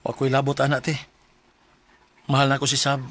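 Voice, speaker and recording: An earnest young man with a Cebuano Filipino accent, played by a male radio actor. He speaks sincerely and devotedly at a middle pitch, in the style of Philippine radio drama. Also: completely flat delivery, normal speed, fairly bright tone, natural voice. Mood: pleading.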